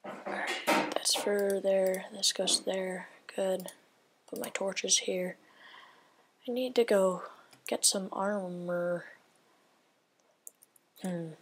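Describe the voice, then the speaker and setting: Woman, gameplay commentator, slow unhurried delivery, rambling and half-whispered asides, faint hiss and mouse clicks behind. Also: mid-range voice, fairly even intonation. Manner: rambling, thinking aloud, often drops to a whisper. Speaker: gaming video creator.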